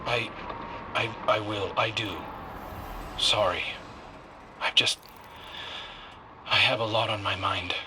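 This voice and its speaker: A man's voice: lowish voice, fairly even intonation, slow, long pauses, background noise. A man playing a troubled, earnest young warrior in a video game, with an emotional, hesitant delivery. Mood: apologetic, burdened. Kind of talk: dramatic video game dialogue. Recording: music under the voice.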